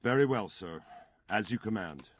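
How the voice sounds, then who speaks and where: A man's voice: fairly low pitch, lively intonation, moderate pace, breathy edge, dry recording. A man playing a dutiful but grumbling castle retainer in a dramatic medieval game cutscene. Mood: obedient.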